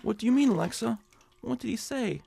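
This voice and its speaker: An actor playing a nervous, hapless hypochondriac in an audio drama, fretful and stammering. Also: male voice, extremely high pitch, lively intonation, fairly quick, gravelly, dark tone, slight background noise, full character voice. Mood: self-pitying, whiny. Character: nervous, whiny nebbish hypochondriac man, eager and hapless. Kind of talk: audio drama acting in old-time radio suspense style.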